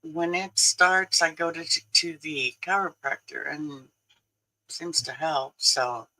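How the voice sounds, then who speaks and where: An older man in his sixties chats casually with viewers on a livestream, laughing often. His delivery is slow and unhurried. His tone is very bright and forward. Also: fairly high pitch, poor audio. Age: 60s. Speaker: livestream host.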